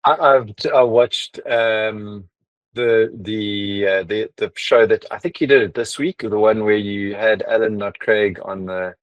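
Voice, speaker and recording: A man's voice: on the low side, steady tone, unhurried, dry recording, highs cut off. A man interviewing a guest in a casual, chatty way, with frequent hesitations and restarts.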